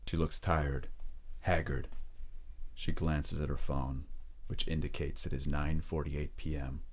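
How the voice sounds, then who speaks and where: Very deep-voiced male drama narrator. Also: flat delivery. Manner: measured, descriptive reading. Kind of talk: scripted audio drama narration.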